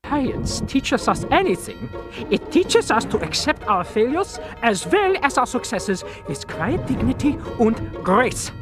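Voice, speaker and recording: A man's voice: very high-pitched, very animated, measured pace, heavily acted. A man voicing a melodramatic, grandiose mad scientist in a cartoon game. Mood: solemn. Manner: grandiose, melodramatic, wildly swooping. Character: manic, theatrical mad scientist crowing over his creation. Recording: music behind the voice.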